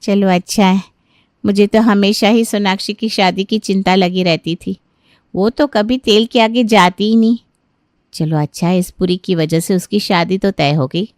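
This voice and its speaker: A woman's voice: medium pitch, normal speed, a little mellow, no echo, studio quality, close to an everyday voice. A woman playing a fretful, chatty middle-aged auntie in a cartoon story. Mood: concerned.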